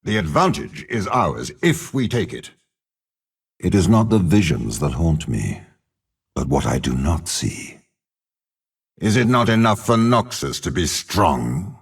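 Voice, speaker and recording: An actor playing a ruthless, imperious older warlord bound to a demon in a video game, with a rasping voice and a menacing, haughty delivery. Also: male voice, full character voice. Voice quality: very deep, gravelly, rasping. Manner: slow, measured, menacing, haughty. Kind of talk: dramatic video game character voice lines.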